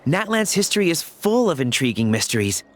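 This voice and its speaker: Higher-voiced inquisitive scholarly type. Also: male voice, sing-song, fairly quick. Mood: intrigued. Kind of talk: animated game voice acting.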